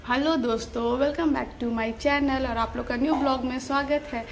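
Upbeat young woman chatting to her phone cam, kinda high voice, faint noise behind. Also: fairly even intonation, moderate pace. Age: young adult. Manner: chatty, upbeat, addresses viewers directly. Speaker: food vlogger. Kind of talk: casual vlog chat to camera. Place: street food stall outdoors and a room at home. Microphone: phone camera at arm's length.

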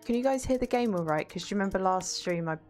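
A woman's voice: mid-range voice, lively intonation, quick pace, a little mellow. A young woman streaming a game, chatting casually and greeting viewers by name, with faint game music behind her. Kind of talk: casual gameplay chat, reading chat aloud.

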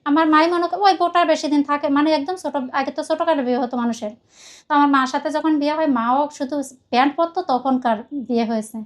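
A woman's voice: high-pitched, fast talker. A woman from Khulna with a Bangladeshi accent, telling her personal story in a rambling, emphatic way and often repeating herself. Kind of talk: personal confessional account in conversation.

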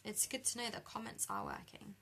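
Croaky, chatty young British woman. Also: fairly high pitch, fairly even intonation, brisk, pushed and bright, slight background noise. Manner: chatty, laughs easily while colouring. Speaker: card-making demonstrator. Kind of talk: live craft demo chatting with viewers. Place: indoor craft desk. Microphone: overhead camera over a desk.

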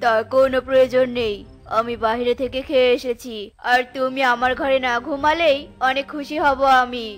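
Woman voicing a cartoon young husband, harsh, scolding, curt, high-pitched. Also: level tone, moderate pace, faint music behind, close to an everyday voice. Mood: dismissive. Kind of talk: cartoon voice acting.

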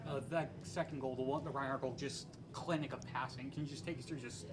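A man working as a sports reporter puts questions at a fast clip. His voice is mid-pitched, with clear locker room noise around it. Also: steady tone. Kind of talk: post-game press questioning. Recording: off-mic, noisy locker room.

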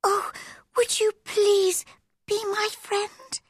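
Storybook young girl played by an adult female narrator: high, animated, eager, earnest, a lonely child. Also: measured pace, no room sound, studio quality, exaggerated voice. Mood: pleading.

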